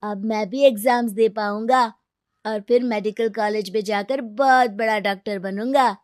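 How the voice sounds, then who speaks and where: A woman's voice: medium pitch, steady tone, normal speed, a little mellow, no room sound, studio quality, close to an everyday voice. A woman voicing an earnest, hardworking and grateful poor young boy in a cartoon story. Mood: determined.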